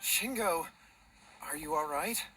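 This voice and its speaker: A man's voice: a little high, slow pace, a little hiss or hum, poor audio. An actor playing an anxious, timid young man in a video game, speaking in a nervous, pleading manner. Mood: concerned.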